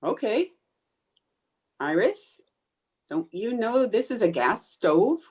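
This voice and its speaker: A woman's voice: fairly high pitch, big pitch swings, slow, a little mellow, husky, full character voice. A woman playing a scatterbrained, wisecracking 1950s housewife in an old-time radio sitcom, with dry sarcasm and comic exasperation. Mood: dismayed. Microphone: home microphone over video call.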